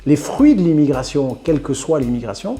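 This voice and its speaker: A man's voice: a little high, big pitch swings, brisk, dark tone, soft background music. A man in his sixties from northern France, a restaurateur telling his family story in an interview, thoughtful and often repeating words as he searches for them. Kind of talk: reflective interview talk.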